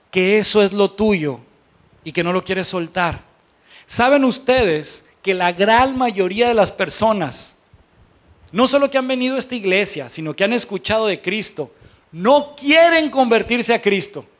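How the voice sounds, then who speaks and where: A Mexican pastor with a notably high voice for a man delivers a sermon to his congregation. He talks in a colloquial, conversational register, blunt and emphatic, often putting rhetorical questions to his listeners. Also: a bit bright.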